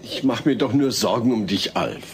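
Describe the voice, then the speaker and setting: A man's voice: on the low side, expressive, moderate pace, a little hiss or hum. An actor playing a patient, well-meaning family father who reasons earnestly in a comedy audio drama. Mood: earnest, awkward. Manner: earnest, reasoning, hesitant when awkward.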